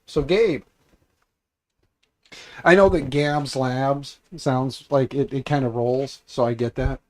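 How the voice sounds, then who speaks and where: A man hosting a casual livestream, congested and raspier than usual, rambling and repeating himself as he talks to his chat. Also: mid-range voice, steady tone, slow pace. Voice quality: raspy, congested. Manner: mild swearing, offhand. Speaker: livestream host.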